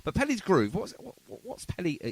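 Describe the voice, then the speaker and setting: A man's voice: high voice, huge pitch swings, moderate pace, vocal fry, husky. A man hosting a podcast in casual interview chat, with a rambling, hesitant way of phrasing his questions. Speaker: podcast host.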